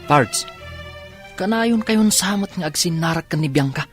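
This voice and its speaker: An actor plays a teasing young buddy who likes to give warnings. His voice is fairly high and swoops around, in an Ilocano radio drama with faint music. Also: animated, unhurried. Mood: cautioning.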